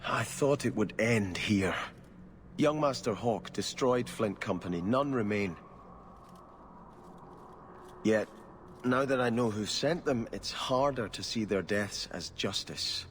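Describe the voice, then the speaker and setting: Brooding noble, fantasy game drama: lowish pitch, earnest, formal, solemn, slight noise behind. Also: male voice, slow pace, long pauses. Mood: grave, regretful. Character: earnest, brooding young nobleman avenging his family. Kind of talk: video game cutscene acting.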